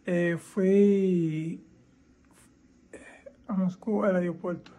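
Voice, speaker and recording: A man in his forties from Cuba with an eastern Cuban accent, a captured soldier giving halting, factual recorded testimony. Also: high voice, fairly even intonation, mellow. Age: 40s.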